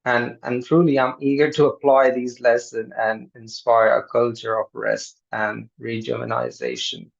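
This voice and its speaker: An earnest man from Pakistan shares a halting personal testimony, often restarting his sentences. His voice is gravelly and mid-pitched, and the audio sounds dull and lo-fi. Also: lively intonation. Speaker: seminar participant. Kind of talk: personal testimony on a video call.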